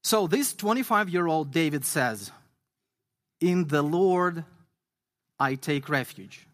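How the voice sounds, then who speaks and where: A man from Ukraine, an English speaker, preaching a sermon earnestly and reading scripture slowly with emphasis. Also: fairly high pitch, animated, a bit bright. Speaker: guest pastor.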